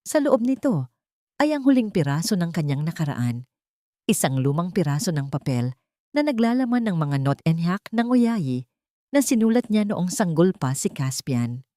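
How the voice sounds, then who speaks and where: A woman's voice: fairly low pitch, very animated, moderate pace, mellow, dry recording, studio quality. A woman storyteller reading a scripted dramatic narration.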